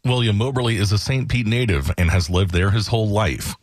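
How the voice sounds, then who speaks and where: A man's voice: lowish voice, fairly quick. A man reading a scripted radio news report as a reporter.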